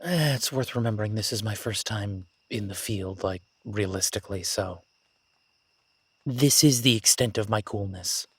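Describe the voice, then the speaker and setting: A man playing an excitable, nerdy young academic on his first jungle expedition in a roleplay audio drama, gushing, stammering and apologetic. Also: on the low side, normal speed, slightly rough, dry recording. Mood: sheepish. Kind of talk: immersive roleplay audio drama, one-sided conversation.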